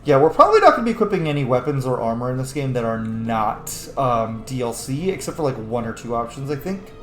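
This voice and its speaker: A man's voice: medium pitch, sing-song, fast talker, fairly bright tone. A man giving casual let's-play commentary, blunt, opinionated and freely swearing. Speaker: gamer, streamer. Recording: echoey room with faint game music.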